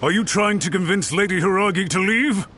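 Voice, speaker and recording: An actor playing an anxious, overbearing clan retainer in an anime fantasy game, speaking in an agitated, insistent, suspicious way. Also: male voice, sits high, brisk, sharp tone, slightly rough, exaggerated voice.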